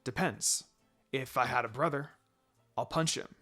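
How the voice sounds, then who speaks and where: A man's voice: mid-range voice, a little slow, bright, forceful tone, faint music behind. A man voicing a brash, moody young boy in a fan fiction story. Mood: offhand. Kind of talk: fan fiction character dialogue.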